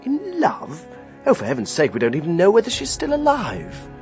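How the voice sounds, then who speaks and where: A brisk, persistent British gentleman in a radio mystery. His mid-pitched voice swings expressively as he probes, with music clearly playing behind him. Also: huge pitch swings, full character voice. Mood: dry, curious. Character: brisk, inquisitive, gentlemanly younger man, teasingly persistent. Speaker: actor in a radio detective play. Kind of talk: British radio drama acting, dialogue.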